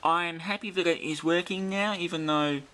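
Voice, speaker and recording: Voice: medium pitch, fairly even intonation, normal speed, a bit bright. A person chatting casually while demonstrating an old radio, with static noise behind. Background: shortwave radio static.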